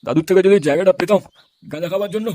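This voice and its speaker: A man's voice: sits high, rapid-fire, lightly acted. A man voicing a crude, menacing gang boss in a comic cartoon, barking orders on a noisy recording. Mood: bossy. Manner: ordering, threatening.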